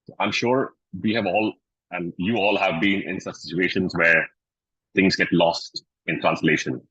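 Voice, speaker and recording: A man's voice: low voice, fairly even intonation, a little slow, sharp tone, husky, no echo, muffled recording. A man sharing a workplace anecdote at a club meeting, then inviting others to share their own stories. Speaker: meeting facilitator.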